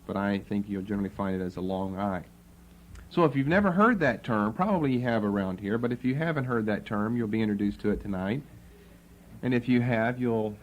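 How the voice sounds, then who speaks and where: A man with a fairly low voice lectures to a class in a room with faint background hum. He explains terms patiently, spelling words out and repeating them. His delivery is that of a classroom teacher. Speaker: Bible teacher. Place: classroom with students writing.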